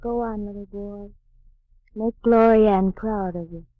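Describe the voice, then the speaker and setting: An actress playing a small, coquettish, scheming trapeze artist, coy and manipulative, in a 1940s radio noir drama. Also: female voice, mid-range voice, steady tone, unhurried, dry recording, lightly acted. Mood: cold taunting. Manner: coy, taunting, pleading. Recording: muffled dry vintage radio recording.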